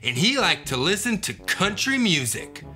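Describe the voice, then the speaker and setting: Comedic kids' story host, man in his 30s, high bright voice, very lively, playful brays; faint music bed. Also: normal speed. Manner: playful, bursts into loud high donkey brays. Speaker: children's book reader. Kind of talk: comedic read-aloud. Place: indoor studio with yellow couch.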